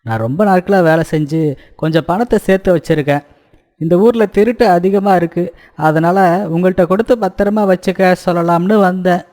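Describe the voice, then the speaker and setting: A man playing a humble, earnest poor village labourer in an animated moral story, speaking deferentially and pleadingly. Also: high-pitched, a little fast, lightly acted. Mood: worried, respectful.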